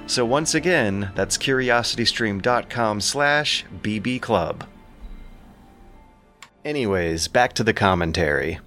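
Low-pitched male narrator over music. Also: a bit bright. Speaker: narrator and host. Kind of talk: dramatic scripted audiobook narration.

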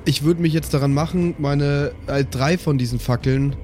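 A man's voice: on the high side, moderate pace, mellow, soft background music. A man playing in an improvised tabletop game, chatting casually and dropping in English phrases. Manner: slangy, drops in English phrases. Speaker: player in a tabletop podcast.

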